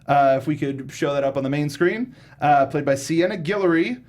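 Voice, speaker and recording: Higher-pitched man, informal podcast host. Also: normal speed. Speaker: talk show host. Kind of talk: casual film review discussion.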